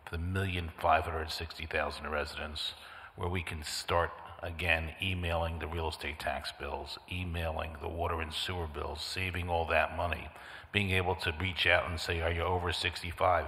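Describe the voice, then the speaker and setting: A councilman speaking at a public hearing, direct and brisk. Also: low voice, flat delivery, sharp tone. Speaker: city councilman. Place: city council hearing room. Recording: echoey council chamber with long reverb.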